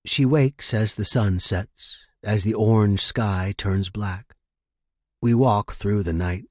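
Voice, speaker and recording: A man reads first-person fiction with slow, deliberate phrasing. His voice is husky and hushed, intimate yet dramatic, and the recording is close and dry. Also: fairly low pitch, no room sound. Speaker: narrator.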